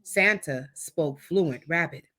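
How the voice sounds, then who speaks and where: A woman's voice: low-pitched, slow pace, background noise. A woman reading a children's story aloud expressively, acting out the dialogue as she goes. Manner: animated, acts out dialogue. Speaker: storytime reader.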